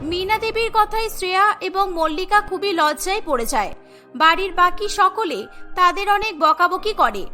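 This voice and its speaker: A woman's voice: very high voice, bright, forceful tone. A woman reading scripted story narration for a cartoon.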